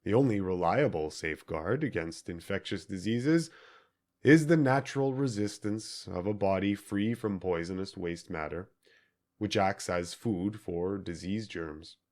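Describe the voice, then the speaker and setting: A man reading scripted audiobook narration in deliberate, measured phrases with emphatic stresses. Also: low voice, lively intonation, a little mellow. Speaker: audiobook reader.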